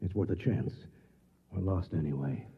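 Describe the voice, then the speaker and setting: Vintage radio thriller lead: American, low husky voice, alarmed and determined everyman, muffled reverberant old recording. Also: normal speed, heavily acted. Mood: tense discovery. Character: determined, alarmed ordinary man uncovering a conspiracy. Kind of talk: mid-century American radio drama acting.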